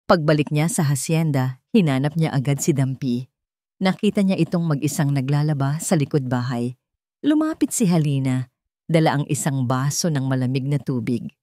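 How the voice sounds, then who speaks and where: A Filipino woman narrates a scripted drama story. Her voice sits a little low for a woman, and the sound is dry, with hardly any room on it. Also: lively intonation, moderate pace, dark tone, no room sound.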